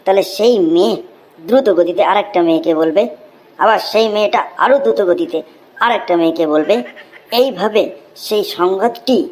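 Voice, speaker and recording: A woman's voice: mid-range voice, a little mellow, slight background noise, close to an everyday voice. A woman voicing a cheeky, wisecracking schoolboy in a Bengali comedy cartoon, explaining things with mock earnestness.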